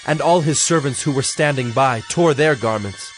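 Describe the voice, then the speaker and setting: A man narrating scripture from a script in a measured, solemn storytelling manner. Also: medium pitch, soft background music.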